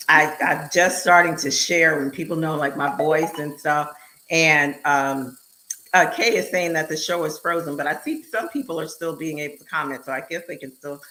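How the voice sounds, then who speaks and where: A woman hosting a live online show, chatting in an animated, emphatic way and speeding up in bursts. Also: sits low, low-quality recording. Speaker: show host and speaker.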